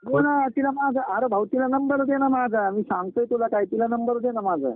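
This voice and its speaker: Very high-pitched young man on phone. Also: fairly even intonation, brisk, dry recording. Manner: insistent, repetitive, coarse and blunt. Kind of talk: casual phone chat with a friend. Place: phone call. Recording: narrow, tinny phone call recording.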